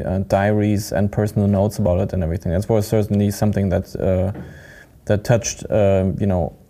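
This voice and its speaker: A man's voice: fairly low pitch, dark tone. A man hosting an interview, asking questions in a hesitant way with many fillers. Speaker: podcast host.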